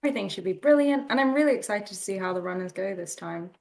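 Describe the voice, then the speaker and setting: A female esports co-commentator speaks in a casual, conversational way. Her voice sits at a middle pitch, as in relaxed livestream casting between two hosts. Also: fast, vocal fry.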